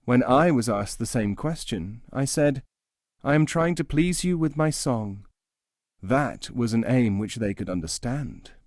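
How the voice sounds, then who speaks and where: A man voicing a worldly-wise nightingale who gives calm, measured advice in a storybook fable. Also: mid-range voice, no room sound, clean studio sound. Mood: knowing, advising.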